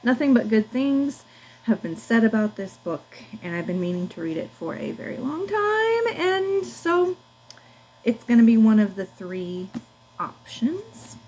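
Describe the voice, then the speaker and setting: A woman's voice: a little high, sing-song, measured pace, a little mellow, poor audio. A woman book vlogger chatting casually to camera in informal, slangy language.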